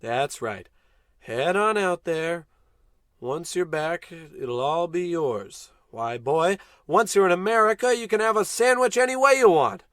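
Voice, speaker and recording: A man acts out a self-important American space captain from a comic radio play. He barks orders grandly and drops callous, dry asides in a fairly high male voice, recorded close and dry. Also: expressive, unhurried, fairly bright tone, dry recording. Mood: scheming, grandiose. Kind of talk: comic old-time radio play acting.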